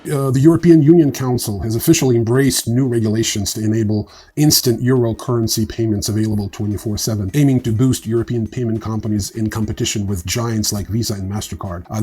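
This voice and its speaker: A man's voice: on the low side, warm tone, husky. A man hosting a news show, delivering informal scripted commentary in a blunt, wry, opinionated manner. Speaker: host of a crypto news show.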